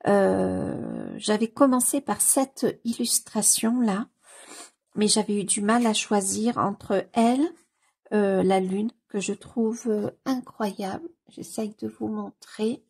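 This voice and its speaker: A woman with a cold gives casual, gushing commentary as she leafs through a book, often pausing on fillers and repeating her delight. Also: medium pitch, animated, gravelly, a little mellow, slightly rough. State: has a cold. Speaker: coloring book YouTuber.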